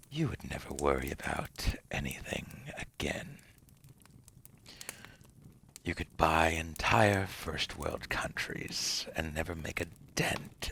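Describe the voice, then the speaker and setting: An extremely low, crackling male devil acted by a man murmurs in a breathy, gravelly whisper. He is seductive and cunning, drawing out his words slowly and theatrically as he tempts the listener in an ASMR roleplay. Some background noise sits under the voice. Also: bright, forceful tone, full character voice. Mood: seductive, tempting. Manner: theatrical, drawn-out, teasing.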